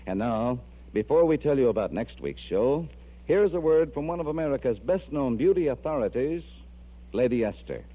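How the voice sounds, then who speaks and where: A man's voice: medium pitch, lively intonation, moderate pace. A man hosting a radio show with formal, courteous, emphatic scripted announcing in the 1940s style. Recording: muffled vintage radio broadcast with music.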